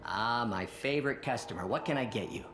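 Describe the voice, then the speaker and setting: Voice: medium pitch, brisk, noisy background, natural voice. An actor playing a chummy, chatty shop clerk in a video game, effusive and familiar. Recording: echoey room.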